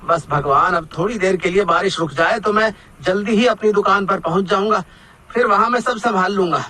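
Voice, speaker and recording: A man's voice: high voice, brisk, bright, forceful tone. A man playing a humble, eager samosa seller in a cartoon story, speaking politely and earnestly. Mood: worried, pleading. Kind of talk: Hindi cartoon story acting.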